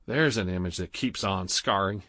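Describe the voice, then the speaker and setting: A man's voice: low voice, normal speed, bright, forceful tone, no room sound, clean studio sound. A man voicing a grumpy, miserable man in a sarcastic, whiny way.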